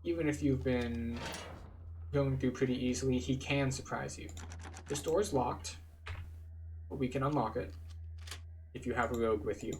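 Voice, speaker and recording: A man's voice: medium pitch, normal speed, long pauses, a little hiss or hum. A man giving casual, explanatory commentary as he guides a video game playthrough. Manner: relaxed, explanatory. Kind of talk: casual let's-play commentary.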